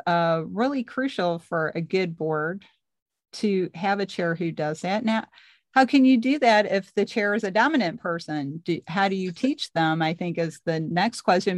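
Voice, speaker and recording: A woman with a soft voice co-hosting a discussion, pausing often as she talks. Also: medium pitch, no echo. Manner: pauses while speaking. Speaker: co-host. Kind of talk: conversational discussion.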